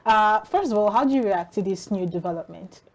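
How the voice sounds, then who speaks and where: A woman's voice: medium pitch, animated, brisk. A woman with a Nigerian accent, reading news and interviewing formally as a morning television host.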